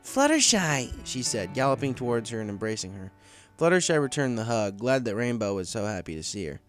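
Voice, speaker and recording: A man's voice: lowish voice, expressive, fast, soft background music. A man reading a story aloud as scripted narration. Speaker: story reader.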